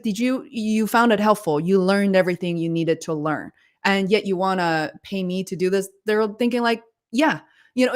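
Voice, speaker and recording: A woman hosting a livestream interview over a video call, talking in an enthusiastic, effusive conversational way. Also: medium pitch. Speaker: livestream host.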